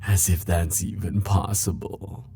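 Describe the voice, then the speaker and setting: Man playing low, slow, flirty immortal charmer. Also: flat delivery, creaky, warm tone. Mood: teasing, gently probing. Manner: coy, theatrical, drawn-out teasing phrasing. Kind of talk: ASMR roleplay audio acting.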